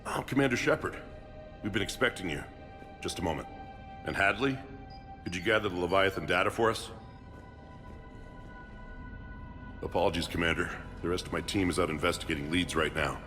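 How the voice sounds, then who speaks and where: A man plays a middle-aged research doctor in a video game. His very deep voice is measured and assured, explaining things with driven authority. Music is clearly audible behind him. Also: close to an everyday voice. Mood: brisk, courteous.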